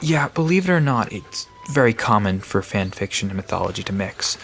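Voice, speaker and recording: A man's voice: fairly low pitch, animated, a little fast, creaky, fairly bright tone, a little hiss or hum. A man playing a friendly, nerdy guide to mythical creatures in an audio drama, explaining lore eagerly with hesitant asides. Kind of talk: conversational audio drama dialogue.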